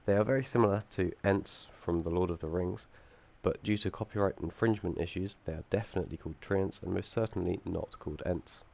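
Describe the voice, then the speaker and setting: A young man with a low, gravelly voice, audibly croaky from being under the weather. He talks through his game's code in a halting way, full of ums and self-corrections, with the odd dry joke dropped in. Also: darkish tone. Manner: hesitant, many ums and restarts, dry asides. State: croaky from feeling unwell. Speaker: student presenting his game project. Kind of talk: screen-recorded code walkthrough.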